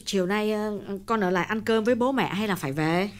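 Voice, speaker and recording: Male narrator as an older mother, high, soft, questioning. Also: brisk, clean tone, close to an everyday voice. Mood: gentle. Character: older mother, gentle and concerned.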